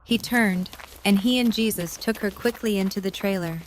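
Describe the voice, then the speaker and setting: A woman's voice: mid-range voice, moderate pace, a little hiss or hum, poor audio. A woman reading scripted third-person narration for an audio drama.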